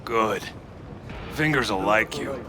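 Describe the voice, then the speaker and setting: A man's voice: on the low side, takes it slowly. A man playing a suspicious, surly gatekeeper thug in a video game, curt and challenging. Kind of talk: video game character acting.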